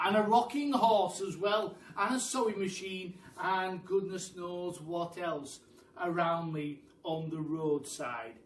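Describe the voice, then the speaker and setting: A man reading a children's story aloud as a teacher, theatrically, with dramatic pauses and emphasis. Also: sits high. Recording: roomy, distant mic with faint background noise.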